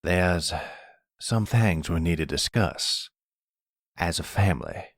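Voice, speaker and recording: Here is a man playing a caring older patriarch in an audio drama. He speaks slowly and deliberately, with a calm, gentle manner. The voice is deep and husky, recorded close. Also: dry recording, heavily acted. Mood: grave. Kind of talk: ASMR audio roleplay drama.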